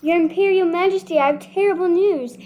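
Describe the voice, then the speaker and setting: Woman as a high-voiced imperial assistant. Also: a little fast, darkish tone, highs cut off, soft background music. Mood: alarmed. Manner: formal, announcing. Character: deferential assistant to an emperor.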